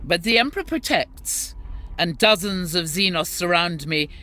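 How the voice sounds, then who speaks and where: An actress playing a devout, zealous warrior nun commander in a video game, narrating in a solemn, formal, resolute manner. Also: female voice, lowish voice, lively intonation, moderate pace, pushed and bright. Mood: grimly zealous. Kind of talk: scripted game cutscene narration.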